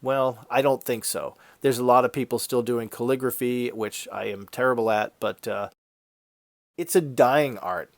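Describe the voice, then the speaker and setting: Male art vlogger, mid-pitched, stuffy-nosed and faintly raspy, friendly conversational explainer, very dry close sound. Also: fairly even intonation, moderate pace, no room sound. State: congested from a head cold, slightly raspy. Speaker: YouTube art channel host. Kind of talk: casual conversational vlog narration.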